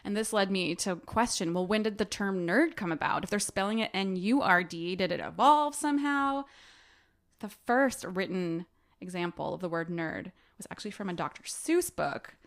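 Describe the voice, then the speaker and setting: A woman with a medium-pitched voice chats as a podcast co-host. She recaps and explains in a relaxed, conversational way, as if talking a friend through a book. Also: normal speed. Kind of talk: casual book discussion with recapping.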